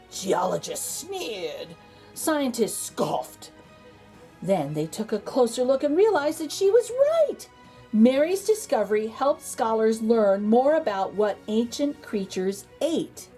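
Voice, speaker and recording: High female voice, children's storytime narration, highly varied intonation, dramatic emphasis, theatrical pauses, faint background music. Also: sing-song, dark tone. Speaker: children's read-aloud host. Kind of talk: expressive children's picture book narration.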